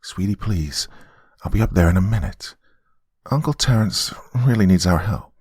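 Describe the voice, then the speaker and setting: A man's voice: low voice, animated, normal speed, darkish tone, slightly rough, no echo, studio quality. A man voicing a worried, soothing mother in a dramatic audio story.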